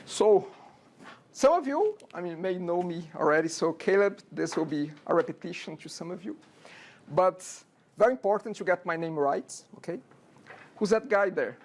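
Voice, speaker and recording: A man's voice: high-pitched, expressive, normal speed, slight background noise. A man in his forties from Brazil, with a Brazilian accent, giving a casual classroom lecture as a programming instructor and often checking in with "okay?" and rhetorical questions. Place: university classroom.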